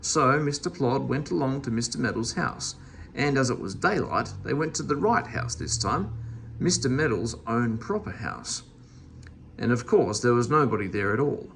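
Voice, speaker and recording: A man's voice: medium pitch, animated, normal speed, vocal fry, a bit bright, slight background noise. A man reading a children's story aloud as narrator. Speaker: storybook reader.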